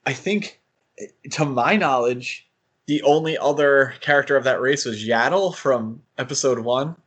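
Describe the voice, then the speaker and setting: Guy podcast host just chatting, medium pitch, kinda thinks out loud as he goes. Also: a little slow, breathy edge. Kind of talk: casual fan discussion.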